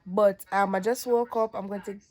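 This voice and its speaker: A woman's voice: mid-range voice, steady tone, moderate pace, very noisy. An eighteen-year-old woman with a Jamaican accent, chatting casually to her vlog camera and drifting into patois as she rambles. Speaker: vlogger.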